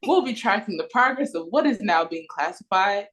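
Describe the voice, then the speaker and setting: A woman's voice: mid-range voice, expressive, normal speed, fairly bright tone, lightly acted. A woman playing a formal local radio newscaster in an audio play read over a video call. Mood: urgent, formal.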